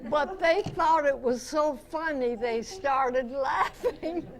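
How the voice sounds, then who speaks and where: A woman in her nineties, a guest reminiscing in an unhurried, anecdotal way before a club audience. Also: sits high. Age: 90s. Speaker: guest, veteran radio actress and singer. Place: club meeting room with audience. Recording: noisy live meeting-room recording.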